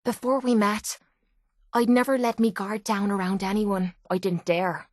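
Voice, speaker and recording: Woman acting as a tough young Irish brawler, female game companion, blunt, rough-edged, guarded yet loyal, dry close recording. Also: mid-range voice, little pitch movement, measured pace, dry recording, close to an everyday voice. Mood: vulnerable, earnest. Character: tough, foul-mouthed young woman pit fighter, guarded but loyal. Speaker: voice actress in a video game. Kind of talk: video game companion dialogue.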